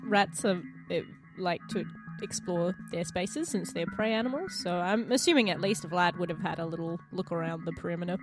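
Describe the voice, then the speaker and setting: A woman's voice: on the low side, normal speed, few pauses, music in the background, rough recording. A woman chatting casually and a little hesitantly as a player in a tabletop roleplaying game.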